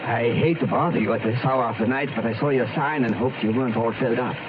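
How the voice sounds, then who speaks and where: A courteous traveler played by a man in a radio drama. His medium-pitched voice comes through a thin, phone-like recording with plenty of noise. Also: fast talker, lightly acted. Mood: polite. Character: polite male traveler seeking a room. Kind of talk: old-time radio drama acting. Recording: tinny, noisy old radio recording.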